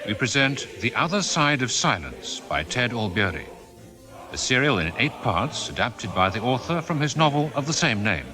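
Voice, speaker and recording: Lively-pitched male radio announcer over music. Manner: formal, measured. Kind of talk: scripted broadcast announcement. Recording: music behind.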